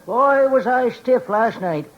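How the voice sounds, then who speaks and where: An actor playing a crusty, folksy old codger, speaking deadpan in a 1940s radio comedy. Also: male voice, extremely high pitch, takes it slowly, exaggerated voice. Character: crusty old deadpan rural New England codger Titus Moody. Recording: dull, noisy vintage radio recording.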